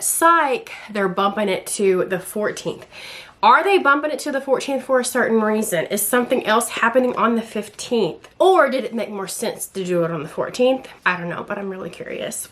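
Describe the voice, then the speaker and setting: A woman's voice: mid-range voice, a little fast, a bit bright. A young woman with a Southern American accent, chatting casually and ramblingly in slangy language for a vlog at home. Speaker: book and craft vlogger.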